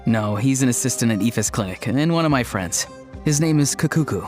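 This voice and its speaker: A man's voice: mid-range voice, animated, a little fast, a little mellow, soft background music, lightly acted. An actor playing an earnest, easygoing young tribesman in a video game, explaining things plainly. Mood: amused, explaining. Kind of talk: video game character acting.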